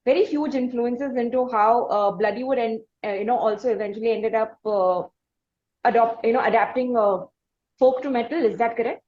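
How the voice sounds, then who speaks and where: A woman's voice: a little high, a little mellow, a little hiss or hum. Dull-sounding recording of an Indian woman interviewer. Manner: halting, roundabout phrasing. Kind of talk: conversational interview questioning.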